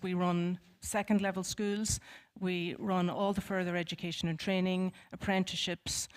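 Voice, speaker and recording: Modest Irish woman introducing herself. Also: on the low side. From Ballyshannon, County Donegal, Ireland. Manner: modest, measured. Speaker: education board chief executive. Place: conference hall stage.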